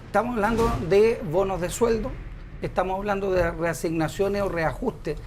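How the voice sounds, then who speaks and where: A man's voice: sits high, fairly even intonation, moderate pace, background noise. A middle-aged man with a Chilean accent, a senate candidate defending his side in a TV panel debate, insistent and explanatory.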